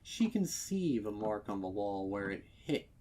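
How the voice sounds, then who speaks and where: A man narrating a dark story with intense, theatrical delivery and deliberate phrasing. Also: lowish voice, big pitch swings, a little hiss or hum. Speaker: fan fiction narrator. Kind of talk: dramatic scripted horror story narration.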